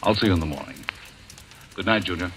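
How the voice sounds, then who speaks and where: An actor playing a calm, authoritative doctor in a vintage radio drama, with a firm, paternal, measured manner. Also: male voice, sits low, natural voice. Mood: reassuring, firm. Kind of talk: old-time radio drama acting. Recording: noisy vintage radio recording.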